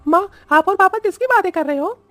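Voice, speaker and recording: A woman voicing a frightened, pleading little boy in an animated horror story. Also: very high voice, a little fast, no echo. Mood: anxious. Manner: pleading, alarmed. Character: frightened little boy calling for his mother.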